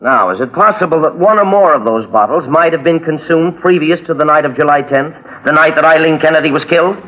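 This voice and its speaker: An actor playing a stern prosecuting attorney in an old-time radio drama, questioning witnesses in a formal, clipped and insistent way. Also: male voice, a little high, quick pace, close to an everyday voice. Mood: businesslike. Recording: dull, faintly noisy vintage radio recording.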